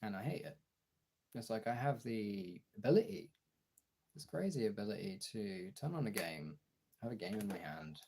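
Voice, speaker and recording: A young man streamer talking casually and frankly in a gravelly, husky voice, halting at times and swearing freely. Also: lowish voice, expressive, a little mellow. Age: young adult. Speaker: streamer and voice actor chatting to followers. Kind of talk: casual candid chat.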